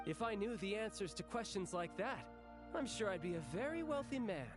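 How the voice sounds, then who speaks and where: High-pitched game delegate, earnest and articulate, pressing a point in formal debate. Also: male voice, animated, normal speed, music in the background. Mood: dry, firm. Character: earnest, insistent male political representative.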